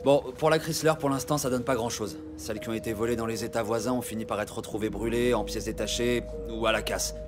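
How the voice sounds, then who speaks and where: Man acting as junior cop, middle-pitched voice, earnest, slightly unsure, matter-of-fact, audio drama. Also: fairly even intonation, quick pace, few pauses, over music, natural voice. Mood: businesslike. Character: earnest, slightly insecure male junior police detective.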